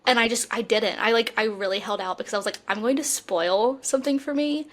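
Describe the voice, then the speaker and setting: A woman's voice: a little high, a little fast, fairly bright tone. A young woman co-hosting a podcast, talking in a casual, conversational style. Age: young adult. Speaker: podcast co-host. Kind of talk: casual chat.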